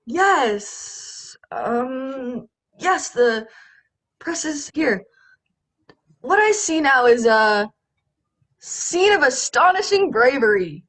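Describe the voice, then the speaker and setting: A woman's voice: high-pitched, very animated, a bit bright, exaggerated voice. A teenage woman playing a flustered, awestruck radio reporter in a melodramatic student play over a video call. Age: teens. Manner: melodramatic, halting when flustered. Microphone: computer microphone on video call.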